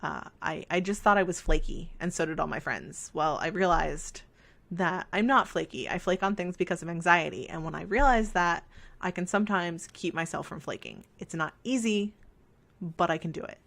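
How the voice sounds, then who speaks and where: A woman's voice: on the low side, normal speed, creaky, fairly bright tone. Scratchy-voiced woman chatting over gameplay. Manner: rambling and self-deprecating, frequent fillers. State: scratchy voice from talking. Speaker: let's play host. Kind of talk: casual chat over gameplay.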